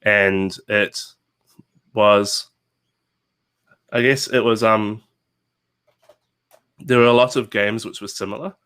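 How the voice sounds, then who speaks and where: Bright-voiced young New Zealand man. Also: fairly low pitch, takes it slowly, long pauses, clear, resonant tone. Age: 20s. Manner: relaxed, rambling with many fillers and restarts. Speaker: guest game designer. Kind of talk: casual interview chat.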